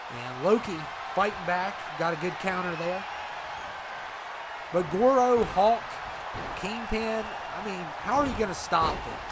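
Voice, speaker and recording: A man casually commentating on a video game match, chatty and reactive, a little congested from allergies. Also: high-pitched. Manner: relaxed, chatty, reacts to the action. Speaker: gameplay commentator. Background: loud game crowd and noise.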